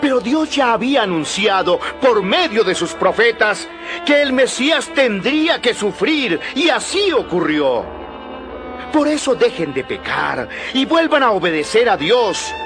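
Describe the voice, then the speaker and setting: An actor playing a fervent apostle preaching boldly and emphatically to a crowd in a dramatized Bible recording. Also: male voice, sits high, sing-song, unhurried, music in the background, exaggerated voice. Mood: urging, solemn. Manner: emphatic, declamatory, questioning.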